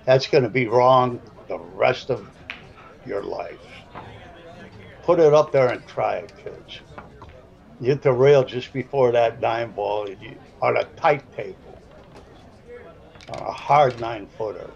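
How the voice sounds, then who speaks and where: An older man with a South Side Chicago accent rambles through pool commentary in a gruff, folksy way. He drifts into storytelling between shots. The recording sounds dull and lo-fi. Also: mid-range voice, a little slow, pauses often. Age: 70s.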